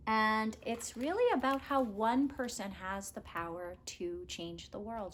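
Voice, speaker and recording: A woman's voice: mid-range voice, a little hiss or hum. A woman teacher reading a children's story aloud expressively, with careful, dramatic phrasing and emphasis.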